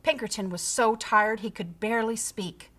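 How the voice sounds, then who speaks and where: A woman reading a children's picture book aloud, with theatrical, deliberate storytelling and dramatic emphasis. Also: fairly high pitch, fairly even intonation, fairly bright tone. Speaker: storybook reader.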